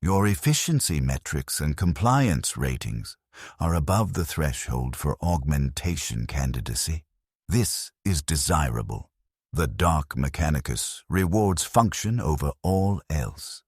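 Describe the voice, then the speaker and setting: A man's voice: very deep voice, big pitch swings, a little slow, husky, dry recording, studio quality, full character voice. A man voicing a cold cyborg tech-priest instructor in a story, clipped, clinical and commanding. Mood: clinical approval.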